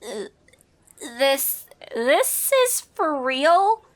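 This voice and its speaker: A woman's voice: high voice, big pitch swings, extremely slow, voice pushed up, heavily acted. A woman voicing an earnest, bookish young female unicorn who is easily flustered, in a cartoon fan story reading. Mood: flustered amazement.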